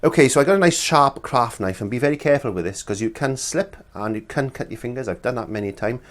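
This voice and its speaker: A man's voice: medium pitch, animated, fast, a bit bright. A middle-aged man teaching an art lesson in his small studio, sounding congested from a cold and talking in a chatty, rambling way with many restarts. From Wales. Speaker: art instructor. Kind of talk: casual demonstration lesson.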